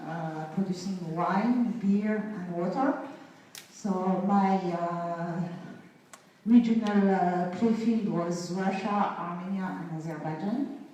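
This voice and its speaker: A woman's voice: mid-range voice, dark tone, far from the mic. Woman giving a talk in an echoey hall. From Morocco. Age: 50s. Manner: halting, many fillers, laughs between points. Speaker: guest speaker. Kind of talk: conference talk. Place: event venue.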